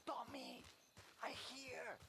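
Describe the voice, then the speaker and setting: An actor playing an Italian safecracker who speaks Italian with broken English words, often whispering, in a game cutscene. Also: male voice, very high-pitched, drawn-out words, sharp tone, soft background music, heavily acted. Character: Italian safecracker, older man, mostly whispering. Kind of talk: video game cutscene voice acting.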